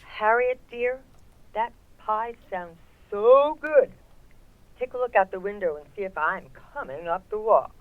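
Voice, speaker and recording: A woman playing a sweet, genteel mother who gently advises her grown daughter over a telephone filter, in old-time radio comedy style. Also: mid-range voice, a little slow, dry recording. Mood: coaxing. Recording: thin, phone-like narrow sound.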